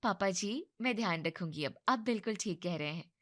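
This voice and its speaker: A woman's voice: mid-range voice, animated, lightly acted. A woman voicing a cheeky, outspoken young woman who switches between sweet politeness and sass. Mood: polite. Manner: cheeky, quick-tongued.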